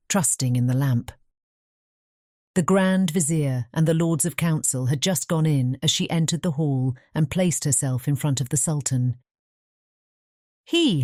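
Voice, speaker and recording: A woman narrating a bedtime fairy tale as a measured, careful scripted storybook reading. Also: sits low, a little mellow, dry recording, clean studio sound.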